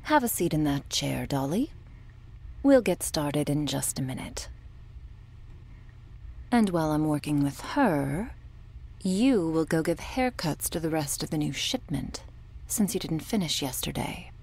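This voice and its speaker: A cold, sadistic woman medic played by a woman in an ASMR roleplay. She speaks slowly and low, with a husky breath and condescending authority that carries quiet menace. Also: sing-song, exaggerated voice. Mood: curt, impatient. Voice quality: breathy, husky, often near whisper. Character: cold, sadistic, domineering woman medic running a captive operation.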